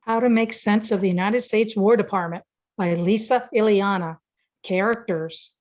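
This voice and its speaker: A woman's voice: medium pitch, moderate pace, a little mellow, faint music behind. A woman reading scripted narration for a radio play. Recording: dull, lo-fi audio.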